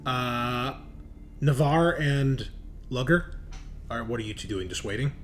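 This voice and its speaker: A man's voice: medium pitch, measured pace. A man acting as game master, narrating and explaining a tabletop game in an improvised, conversational way. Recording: noisy room.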